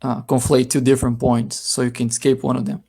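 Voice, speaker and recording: A man's voice: medium pitch, flat delivery, a little fast, gravelly, darkish tone, slightly rough. A man from Brazil, explaining physics step by step in a heated voice-chat debate.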